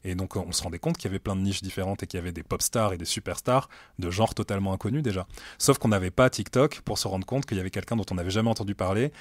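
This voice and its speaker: Late-30s guy, really low voice, laid back, lots of "euh" pauses, interview in an office room. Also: no room sound. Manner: relaxed, thoughtful, many hesitations. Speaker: music director at a streaming platform, guest. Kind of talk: casual conversational interview. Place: meeting room at the streaming company's office.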